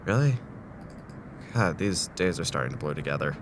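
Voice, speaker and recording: A man's voice: extremely low pitch, very animated, moderate pace, noisy background. A man acting a desperate, tormented patient in a student audio drama, speaking in a weary, urgent, rambling way. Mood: weary pleading. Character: desperate, tormented man trapped in torture loop.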